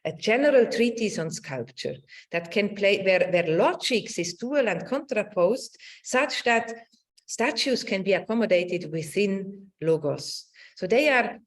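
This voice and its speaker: A middle-aged woman giving a semi-improvised academic talk over a video call, haltingly searching for words and checking with a questioning tag. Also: medium pitch. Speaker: academic lecturer. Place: indoor room, online presentation.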